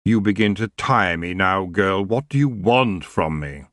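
A man doing a slow, languid elf king. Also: low-pitched, a bit bright, dry recording, clean studio sound. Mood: weary. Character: lazy, seductive male king of the elves.

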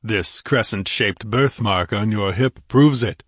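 A man voicing a massive barbarian warrior who speaks with rumbling scorn and blunt demands. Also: slow, a bit bright, no echo, studio quality. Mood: scornful. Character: massive gruff barbarian warrior, angry and contemptuous.

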